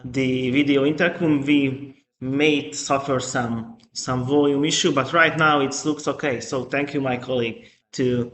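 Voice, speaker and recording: Slow, halting man presenting in an echoey room. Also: medium pitch, steady tone, fairly bright tone. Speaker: webinar presenter, product trainer. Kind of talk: live online product training presentation. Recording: echoey room with faint noise.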